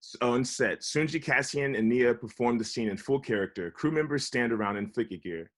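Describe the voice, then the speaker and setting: A man's voice: mid-range voice, brisk. A man narrating the stage directions at a table read of a comedy script.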